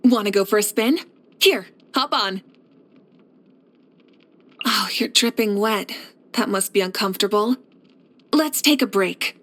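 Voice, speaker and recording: A woman playing a confident, kindly fire archon and war leader in a video game, speaking in an assured, measured, encouraging way. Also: mid-range voice, fairly bright tone. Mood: warm, easygoing. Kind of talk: scripted video game character voice lines.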